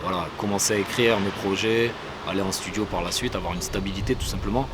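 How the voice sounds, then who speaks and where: A middle-aged man, a rapper, chatting casually in an interview with relaxed slang and many fillers, outdoors in a city. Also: fairly low pitch, quick pace. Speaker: rapper guest. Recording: outdoor street noise, traffic.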